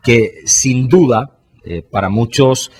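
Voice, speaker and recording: A man hosting a live radio talk show, greeting listeners formally and pausing often with "eh". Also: mid-range voice, husky. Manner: warm formal greetings with frequent "eh" fillers. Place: radio studio.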